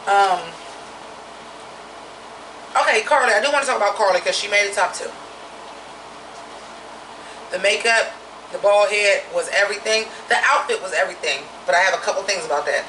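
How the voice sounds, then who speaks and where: A woman with a naturally deep voice giving a blunt, profane, opinionated drag review in a casual vlog. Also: normal speed, pauses often, bright, forceful tone, reverberant, far from the mic, a little hiss or hum, rough recording.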